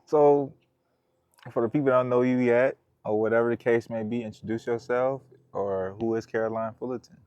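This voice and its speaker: A man hosting an interview outdoors, relaxed with loose, easygoing phrasing and constant mm-hmms. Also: medium pitch, brisk, dry recording, muffled recording. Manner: laid-back, loose phrasing, many mm-hmms. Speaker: interview host. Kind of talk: casual interview. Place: outdoors in a vineyard.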